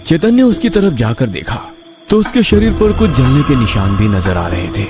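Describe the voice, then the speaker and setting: A man's voice: medium pitch, very animated, normal speed, over music. A man reading a scripted horror story as its narrator.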